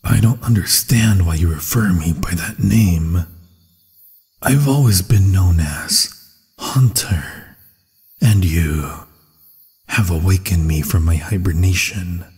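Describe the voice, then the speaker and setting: A man's voice: low-pitched, slow pace, a little mellow, breathy edge, exaggerated voice. A man voicing a hungry, possessive werewolf in an ASMR fantasy roleplay, savoring his words seductively and often slipping into whispers. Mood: drowsy, curious. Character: hungry, possessive male werewolf, menacing yet infatuated. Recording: long cavernous reverb.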